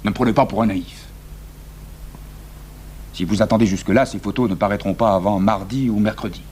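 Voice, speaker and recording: An actor playing an imperious, impatient examining magistrate in a radio drama, speaking in a curt, pompous, insistent way. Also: male voice, lowish voice, steady tone, a little fast, a little mellow, lightly acted. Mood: commanding, irritated. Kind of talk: French radio drama acting. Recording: hummy, noisy old radio broadcast.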